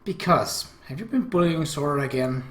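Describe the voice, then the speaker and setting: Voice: mid-range voice, animated, moderate pace, natural voice. A game commentator voicing a jealous, scolding elder sister from an anime visual novel, snippy and taunting. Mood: accusing. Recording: echoey room.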